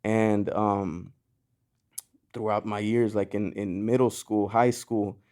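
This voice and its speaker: Brief: male podcast guest, born in Mexico, lowish pitch, easygoing and rambling, many restarts. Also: fairly even intonation, takes it slowly, darkish tone. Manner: loose and rambling, lots of "you know" and "like", frequent restarts. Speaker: guest, clothing brand founder. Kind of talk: casual podcast conversation.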